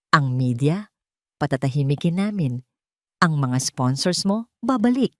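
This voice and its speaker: A woman's voice: low voice, a little mellow, dry recording. A woman narrator puts on an imperious old society matriarch for an audio drama. She is cold, unflinching and commanding, bargaining and threatening with total control. The sound is dry and close.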